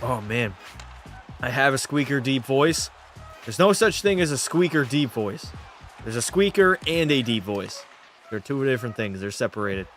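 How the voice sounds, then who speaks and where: A man's voice: medium pitch, lively intonation, normal speed, a bit bright, soft background music. A young man from Canada, casually chatting and swearing while live-streaming a hockey game and answering chat. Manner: loose, profane banter, reading chat aloud. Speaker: gaming streamer.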